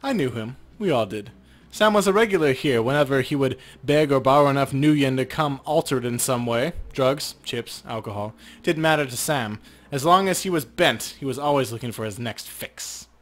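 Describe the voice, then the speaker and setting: A man's voice: medium pitch, expressive, normal speed, lightly acted. A man voicing a proud, teasing woman who runs a bar in a video game, dropping in Japanese phrases. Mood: amused, knowing. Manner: teasing, self-possessed, peppered with Japanese phrases.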